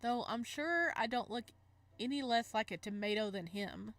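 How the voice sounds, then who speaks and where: A woman's voice: a little high, normal speed, fairly bright tone. A woman reading a visual novel aloud with casual reactions, giggling and stumbling over words as she goes. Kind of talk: let's play read-aloud with casual reactions.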